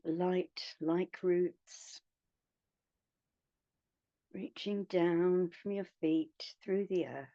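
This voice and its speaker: A woman guiding a meditation in a soothing, hushed manner, leaving long pauses between phrases. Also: lowish voice, fairly even intonation, dry recording, highs cut off. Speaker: meditation guide. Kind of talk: guided meditation.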